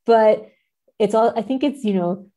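A woman's voice: a little high, measured pace, dark tone. A woman speaking as an academic guest in a casual podcast interview.

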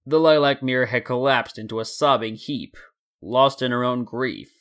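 A man's voice: medium pitch, moderate pace, fairly bright tone. A man narrating a scripted story in a dramatic reading style. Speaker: narrator and fan fiction reader.

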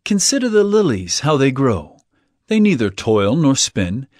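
An actor playing an earnest male teacher of parables in a dramatized audio Bible, speaking in an emphatic, measured, declamatory way. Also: medium pitch, big pitch swings, a little mellow, dry recording, studio quality. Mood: reassuring, earnest.

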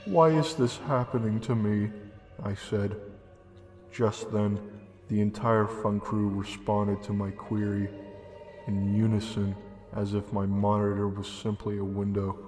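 A young man giving a deadpan, deliberate reading of a comedic horror story as narrator. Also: lowish voice. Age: young adult. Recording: long reverb, music behind. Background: music.

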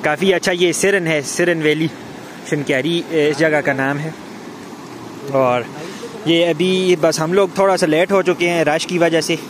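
A young man talks straight to his viewers in a relaxed, chatty travel-vlog way, his voice sitting a little high. He holds the camera at arm's length, and loud outdoor noise from the road and open air runs under his words. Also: unhurried. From Pakistan. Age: young adult. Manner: chatty, addresses viewers directly. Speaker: vlogger. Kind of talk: casual travel vlog narration. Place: outdoors, in a car and on a street and riverbank. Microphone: phone or camera at arm's length. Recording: noisy outdoor phone recording.

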